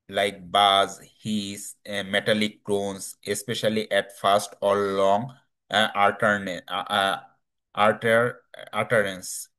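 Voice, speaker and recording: Slow, halting male presenter. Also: fairly low pitch, fairly bright tone. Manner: halting, hesitant delivery with non-native English phrasing. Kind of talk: slide presentation on a technical subject.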